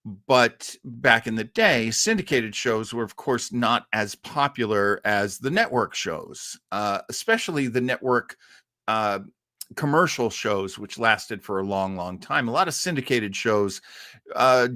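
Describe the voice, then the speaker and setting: An American man hosting an informal talk on radio history, conversational, halting and explanatory. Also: medium pitch, lively intonation, pushed and bright, no room sound, studio quality. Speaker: host.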